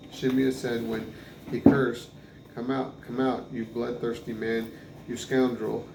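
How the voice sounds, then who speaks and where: A man reading scripture aloud in a halting way, stumbling over names and restarting. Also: medium pitch. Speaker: Bible reader. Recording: echoey room with clear background noise.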